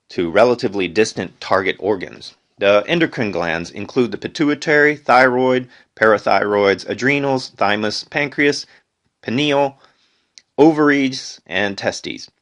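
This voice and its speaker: Male amateur reader, lowish voice, deliberate phrasing, unpolished textbook read-aloud. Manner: deliberate phrasing, reads headings aloud, stumbles and corrects himself. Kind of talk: unedited educational read-aloud.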